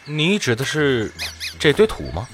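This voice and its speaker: An earnest young priest in a fantasy game drama. He is gentle and courteous, with a very animated mid-range voice. Light music sits behind him. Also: mid-range voice, a little slow. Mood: polite, puzzled. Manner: polite, hesitant, earnest. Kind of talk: fantasy audio drama acting.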